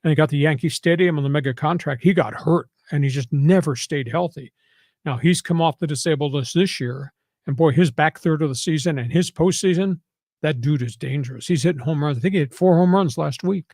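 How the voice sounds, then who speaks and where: Older American man, gravelly sports talker. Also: fairly high pitch, a little fast, a little mellow, no echo, clean studio sound. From New York, United States. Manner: emphatic, folksy colloquial turns. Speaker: sports talk show host and analyst. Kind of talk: opinionated sports talk.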